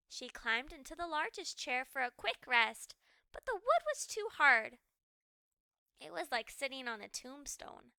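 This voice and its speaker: A woman reads a children's story with animated, dramatic delivery. Her voice is high and very bright, and she takes her time over each phrase. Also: vocal fry, dry recording, studio quality. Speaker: children's read-aloud storyteller. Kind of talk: expressive scripted storybook narration.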